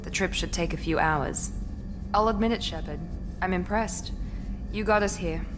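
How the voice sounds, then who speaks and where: A poised and businesslike female officer from a sci-fi game played by a woman. She speaks low and professionally, with clear music underneath. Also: normal speed, natural voice. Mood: cautiously hopeful. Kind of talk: video game voice acting.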